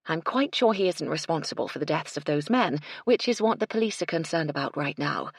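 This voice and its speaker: Composed lady in charge played by a woman reader, polite authority, slightly low and gravelly, storybook dialogue. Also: expressive, brisk, no echo, clean studio sound, exaggerated voice. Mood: firm, reassuring. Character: composed, kindly but firm English lady in charge.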